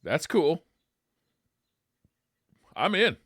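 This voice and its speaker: A man's voice: fairly high pitch, sing-song, very slow, fairly bright tone, dry recording, clean studio sound. An American man in his thirties, joking and slangy as he chats casually as a podcast host. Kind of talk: casual chat about retro gaming.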